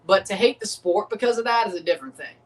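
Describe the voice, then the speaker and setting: A man hosts a relaxed livestream reaction, talking in loose, profane rambles. He keeps doubting his own points as he goes. His voice sits a little back from the microphone. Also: high voice, quick pace, far from the mic, rough recording. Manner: rambling, profane, second-guessing himself. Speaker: livestream host reacting to a match. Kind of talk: casual livestream reaction chat.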